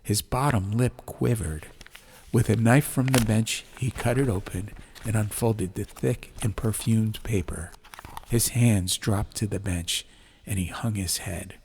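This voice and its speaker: A man narrating a scripted audiobook in a measured, dramatic storytelling manner. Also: fairly low pitch, darkish tone, a little hiss or hum. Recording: ambient sound effects behind. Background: faint fantasy ambience.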